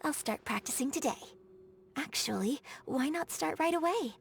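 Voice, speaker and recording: A woman's voice: high-pitched, animated, moderate pace, gravelly. A woman voicing a courteous, refined young female opera performer in a video game, speaking formally with careful enunciation and a light, melodious voice. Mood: pleased, eager. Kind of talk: scripted game character dialogue.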